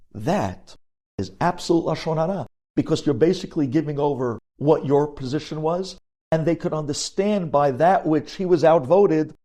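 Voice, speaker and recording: Mellow-voiced rabbi, emphatic lecturer. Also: male voice, on the high side. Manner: emphatic, repeats key phrases for weight; mixes Hebrew terms into English. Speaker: rabbi giving a religious lecture. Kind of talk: sermon-like Torah lecture.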